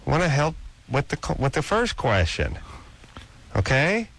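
A man's voice: on the high side, huge pitch swings, measured pace, vocal fry, fairly bright tone. A man hosting a radio call-in show, bantering casually in a blunt, mocking way and often cutting in.

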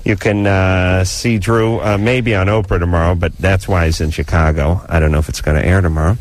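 A man's voice: deep voice, fairly even intonation, fairly quick, a little hiss or hum. A man co-hosting a call-in radio show, joking bluntly and teasing a young caller.

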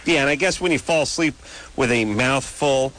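A man's voice: normal speed, slight background noise. A man hosting a late-night radio call-in show. He banters casually, mocks himself and needles his co-host and guests. His voice jumps up playfully when he jokes. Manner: jokey, self-mocking, lively rises in pitch.

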